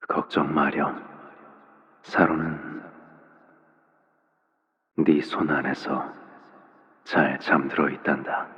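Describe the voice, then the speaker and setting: Soft spoken sinister dad villain from a game, super slow, kinda muffled audio. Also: male voice. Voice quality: very deep, low voice. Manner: unhurried, gentle parental phrasing with veiled menace. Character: soft-spoken, menacing father figure of a crime syndicate. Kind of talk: scripted video game voice acting. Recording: long reverb, dull muffled sound.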